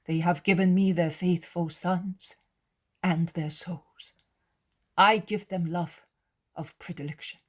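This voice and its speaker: Solemn, loving holy man in a devotional story, read by a woman: unhurried, soft-grained, gentle authority. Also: fairly low pitch, steady tone. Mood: gently firm. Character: Jesus, a calm, solemn, loving male master.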